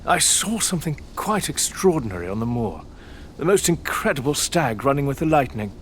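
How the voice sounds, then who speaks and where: An actor playing an arrogant, sardonic aristocratic lord in an audio drama, with a British accent and a pompous, theatrical manner. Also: male voice, medium pitch, very animated, moderate pace, a bit bright, a little hiss or hum. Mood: irritated.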